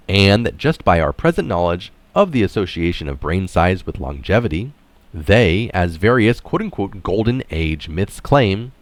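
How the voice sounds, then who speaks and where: A man's voice: low voice, dry recording. A man reading an article aloud in narration style, dropping in casual, opinionated asides of his own. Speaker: reader of an article adding his own comments.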